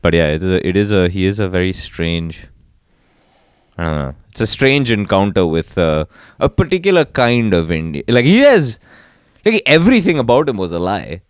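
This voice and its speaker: A man chats casually in a low, smooth, resonant voice. His pitch wanders freely, and he rambles and halts, often letting phrases trail off softly. The sound is dry and close. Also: very animated, no room sound. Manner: rambling, halting, trailing off quietly. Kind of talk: casual chat.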